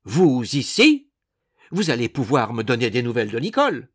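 A man voicing a loud, indignant, pushy stout matron in a dramatized storybook reading. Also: mid-range voice, huge pitch swings, rapid-fire, no echo, studio quality, full character voice. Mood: startled, demanding. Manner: strident, exclamatory, imperious.

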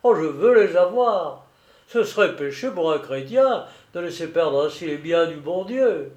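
A male narrator acts out a jovial, drunken lighthouse keeper from a storybook. He is loud, boastful and coarse, bursting into exclamations at a raised pitch. The room rings slightly around him. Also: lively intonation, unhurried, reverberant, highs cut off. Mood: jovial, greedy. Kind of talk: dramatised audiobook storytelling.

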